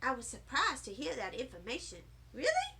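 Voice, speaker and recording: A woman reading a children's story aloud in an expressive, animated and deliberate way. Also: high-pitched, background noise. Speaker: storybook reader.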